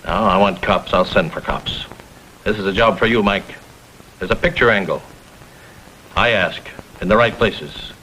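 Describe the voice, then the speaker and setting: A noisy old TV soundtrack carries a gruff, self-made millionaire. His voice sits fairly low, and he talks in blunt, clipped bursts, boastful and protective like a boss used to being obeyed. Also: moderate pace, pauses often, sharp tone. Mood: insistent. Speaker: actor in a TV crime drama. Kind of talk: vintage TV drama acting.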